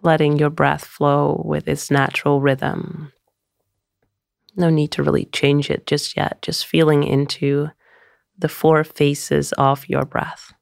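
Creaky-voiced woman guiding a gentle meditation. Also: deep voice, level tone, no room sound, clean studio sound. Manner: soothing, gentle, unhurried with long pauses. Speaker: meditation guide. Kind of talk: guided meditation.

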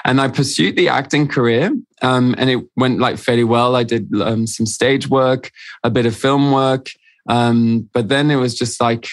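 A man's voice: mid-range voice, no echo. A man who grew up in Switzerland and France, a podcast guest telling his own story in a candid, chatty way with plenty of "um"s. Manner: candid, chatty, many fillers. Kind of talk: casual storytelling interview.

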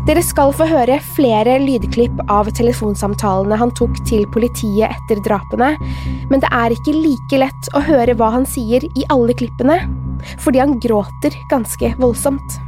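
A woman's voice: fairly high pitch, music in the background. A woman, a podcast host reading a scripted true crime narration.

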